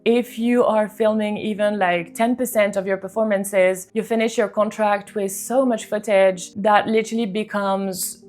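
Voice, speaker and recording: A woman's voice: mid-range voice, a little mellow. Chatty young woman over faint music. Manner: chatty, earnest, many "like" fillers. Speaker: vlogger, cruise ship musician. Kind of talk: casual talking-to-camera vlog.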